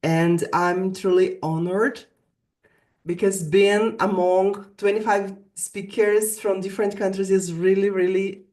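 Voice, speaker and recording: A woman's voice: mid-range voice, fairly even intonation, unhurried, a little mellow. Brazilian woman giving an earnest presentation. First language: Portuguese. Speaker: English teacher and guest speaker. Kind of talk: prepared virtual summit presentation.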